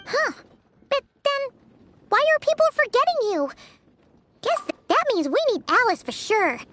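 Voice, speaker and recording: Squeaky, perky little fairy companion. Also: female voice, big pitch swings, measured pace, fairly bright tone, exaggerated voice. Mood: puzzled. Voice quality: squeaky, childlike. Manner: speaks of self in third person. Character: small childlike floating fairy companion, perky and loyal. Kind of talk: video game voice acting.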